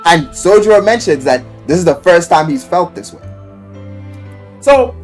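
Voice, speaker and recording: Animated, slangy man over music. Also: high voice, normal speed. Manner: slangy, profane, rambling, emphatic. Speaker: YouTuber reviewing a visual novel. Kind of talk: casual, loosely scripted video commentary.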